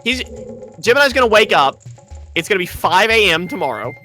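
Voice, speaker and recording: A man hosting a live stream, chatting casually with friends, excitable and quick to laugh and shout. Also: high voice, soft background music.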